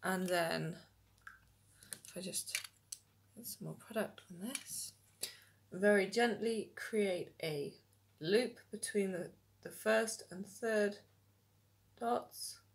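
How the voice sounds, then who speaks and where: A young woman, slightly hoarse from a cold, talks through a makeup look step by step in a relaxed way with many ums, filmed at home by a camera in front of her. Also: medium pitch, slow pace, long pauses. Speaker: makeup vlogger. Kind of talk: makeup tutorial. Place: small indoor room at home.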